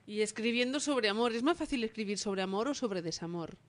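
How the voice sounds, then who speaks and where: A woman's voice: on the high side, lively intonation, a little fast. A woman radio host presenting and interviewing a phone guest in an announcer style. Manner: announcer-style, poised questions.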